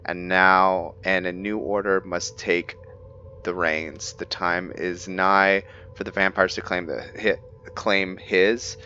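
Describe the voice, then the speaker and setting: A man with a very low, bright-edged voice reads game journals aloud slowly, stumbling and correcting himself as he goes. The mic sounds dull and lo-fi, with faint noise underneath. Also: flat delivery. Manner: halting reading with frequent self-corrections. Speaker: gamer playing and narrating. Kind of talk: roleplay let's play, reading in-game journals aloud.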